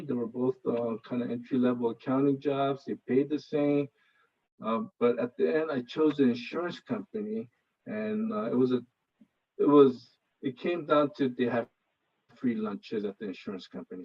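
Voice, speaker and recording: A man in his fifties, born in Korea, with a Los Angeles Koreatown accent, giving a casual info session presentation on a video call and often pausing on filler sounds. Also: medium pitch, husky. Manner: hesitant, many filler sounds. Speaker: presenter, finance professional. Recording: slightly dull video call audio.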